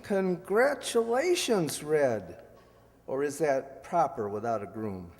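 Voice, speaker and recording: A man's voice: fairly high pitch, very animated, slow pace, exaggerated voice. A man playing a suave, teasing ex-husband in a radio play, wry and playful. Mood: dry, teasing. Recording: stage hall reverb.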